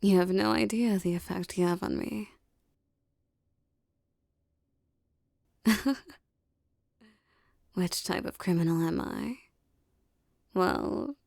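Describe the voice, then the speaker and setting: A woman performs a streetwise female mafia boss with a soft spot for her lover. Her voice is dark and mellow with a gravelly fry. She speaks in an intimate, teasing ASMR roleplay manner, recorded very dry. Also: lowish voice, no echo. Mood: fond, teasing.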